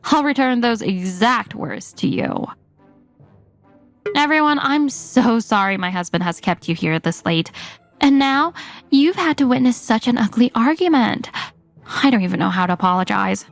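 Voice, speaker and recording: A woman voicing a calm, confident wife in a manga dub who answers her husband in a composed, quietly cutting way. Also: on the high side, moderate pace, gravelly, no echo, soft background music.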